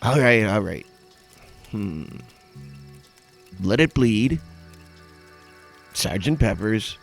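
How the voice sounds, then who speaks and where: An actor playing an easygoing, skeptical DJ buddy with a dry, questioning manner in casual audio drama banter. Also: male voice, fairly low pitch, animated, very slow, creaky, faint music behind, heavily acted.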